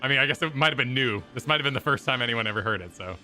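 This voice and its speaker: A man's voice: medium pitch, rapid-fire, sharp tone, faint music behind. A man co-hosting a gaming stream in casual, joking chat as he reacts to game cutscenes. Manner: joking, informal.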